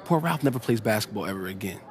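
Young male athlete for a sports game, performed by a man: medium pitch, ambitious, earnest, persuasive, streetwise casual phrasing. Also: expressive, fast, close to an everyday voice. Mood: serious, reflective. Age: young adult. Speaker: basketball player. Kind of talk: naturalistic video game cutscene acting.

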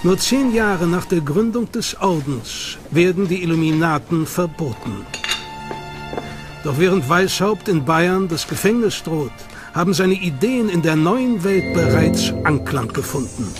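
A male documentary narrator reads his script in a measured, dramatic storytelling manner. His voice is on the high side for a man, and a music bed plays clearly behind him. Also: expressive.

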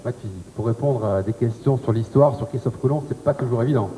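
A man's voice: mid-range voice, fast, dark tone. A man in his thirties hosting a television game show, chatty and upbeat as he quizzes the contestants. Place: TV studio set. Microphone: handheld mic. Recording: reverberant studio, faint tape hiss.

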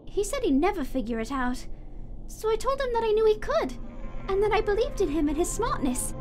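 Woman voicing a very high, eager skeleton child. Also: big pitch swings, a little fast, music in the background. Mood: wistful. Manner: childlike, earnest, wide-eyed. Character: innocent, hopeful child skeleton boy, eager and trusting. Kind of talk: animated comic dub acting.

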